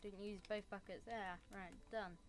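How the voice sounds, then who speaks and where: A woman's voice: medium pitch, steady tone, a little slow. Woman, casual game tutorial commentary, rambling asides, faint background noise. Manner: rambling, with self-mocking asides. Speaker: Minecraft tutorial creator.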